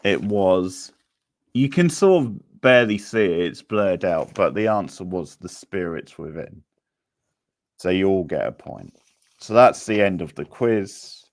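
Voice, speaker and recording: A man casually hosting a live quiz, reading out questions, options and scores in a halting way. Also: deep voice, lively intonation, dry recording. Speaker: quiz host.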